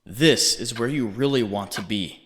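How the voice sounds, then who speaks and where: A man playing a haunting inner voice, narrating in the second person with deliberate, accusing, dramatic delivery. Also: medium pitch, fairly bright tone, lightly acted. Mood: coaxing, ominous. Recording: long reverb, faint background noise.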